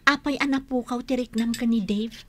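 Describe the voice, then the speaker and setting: An actress as a nagging high-pitched Ilocano woman. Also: steady tone, brisk, fairly bright tone, a little hiss or hum, natural voice. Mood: nosy, reproachful. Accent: Northern Philippine, Ilocano. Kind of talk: Ilocano radio drama acting. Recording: music clearly behind the voice.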